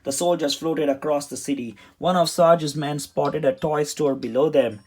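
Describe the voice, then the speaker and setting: A man's voice: a little high, fairly even intonation, moderate pace, a little mellow, husky. A man narrating a children's storybook as a read-aloud. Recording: music behind the voice.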